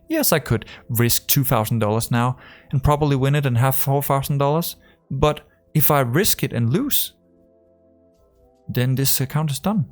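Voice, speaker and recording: A man with a strong Danish accent talks loosely to the camera as a trading coach. His voice is rough and gravelly, and his sentences wander, full of fillers and restarts. Faint music plays underneath. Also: medium pitch, darkish tone. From Denmark. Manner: rambling, full of fillers and restarts, acts out conversations. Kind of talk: casual talk to camera.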